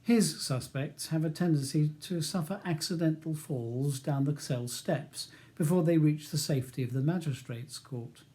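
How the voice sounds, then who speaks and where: Mellow man narrating an audiobook. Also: on the high side, moderate pace, few pauses. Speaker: author narrating his novel.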